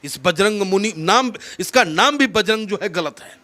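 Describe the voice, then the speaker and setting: A man's voice: sits high, animated, moderate pace, pushed and bright. A man from India with a North Indian accent, speaking in an impassioned, oratorical way as a political interviewee, emphatic and repeating phrases for effect.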